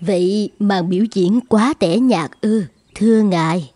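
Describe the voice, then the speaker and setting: A woman's voice: medium pitch, measured pace, dark tone, no echo, lightly acted. A woman voicing an attentive, dutiful royal consort in a children's cartoon, courtly and respectful. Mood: concerned, deferential. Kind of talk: dubbed children's cartoon acting. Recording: faint music behind.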